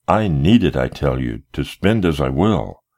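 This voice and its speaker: A man voicing a desperate young gentleman in love who pleads with his captor in impassioned, formal, old-fashioned speech. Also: deep voice, big pitch swings, measured pace, gravelly, voice pushed up, heavily acted. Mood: desperate, pleading.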